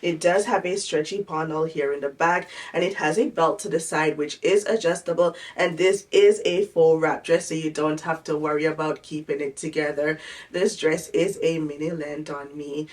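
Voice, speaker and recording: A woman's voice: low voice, steady tone, normal speed, distant mic. A woman vlogger sounding a little nasal from a cold, chatting through a fashion try-on haul in a friendly, descriptive way.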